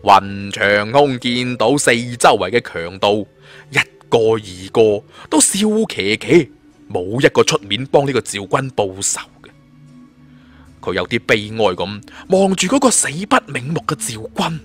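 An animated man narrates a fantasy tale. His delivery is colloquial and dramatic, with a lively swing in pitch, over background music. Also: measured pace. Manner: expressive, colloquial storytelling. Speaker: audiobook storyteller.

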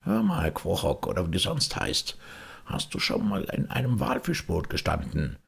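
A man voicing a blunt, bossy old sea captain with a rough sailor's voice in an audiobook. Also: low voice, huge pitch swings, moderate pace, fairly bright tone, pitched above the performer's own voice, heavily acted. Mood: hearty, bossy.

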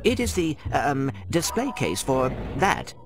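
An actor playing a small, polite robot secret agent in a video game, formal and precise. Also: male voice, a little high, big pitch swings, a little slow, over music. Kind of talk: spy spoof video game cutscene acting.

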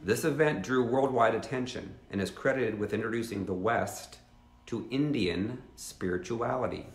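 A man's voice: lowish voice, normal speed, echoey room. Earnest fifties man reading a teaching. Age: 50s. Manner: earnest, reads steadily with asides to viewers. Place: living room at home.

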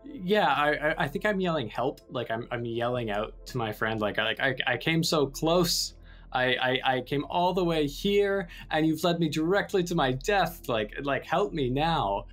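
A man's voice: fairly high pitch, expressive, normal speed, fairly bright tone. A man playing a tabletop game, role-playing casually and hesitantly, often repeating his words. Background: music.

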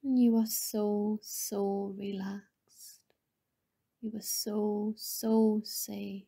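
Very dark, soft woman meditation guide. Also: medium pitch, level tone. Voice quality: soft, breathy, often near-whisper. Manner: soothing, gentle, lingering pauses between phrases.

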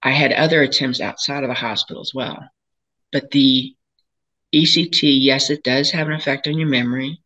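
An older woman, hoarse and raspy from a cold, candidly telling her own story as a patient on a video call, pausing and restarting as she talks. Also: a bass voice, steady tone, bright, forceful tone. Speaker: patient sharing her experience. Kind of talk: personal testimony at a panel. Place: home room, indoors, seated in a chair. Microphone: video call webcam.

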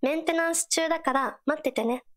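A woman voicing an earnest, supportive teenage girl companion in a game, gentle and encouraging in short scripted lines. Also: high-pitched, fairly quick. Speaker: game character voice actor.

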